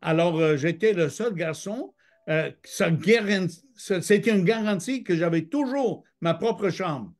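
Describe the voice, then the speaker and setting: A man's voice: high voice, expressive, unhurried. A middle-aged man from Michigan, a guest telling his life story in French over a video call, hesitating with many fillers. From Michigan, United States. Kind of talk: testimony interview.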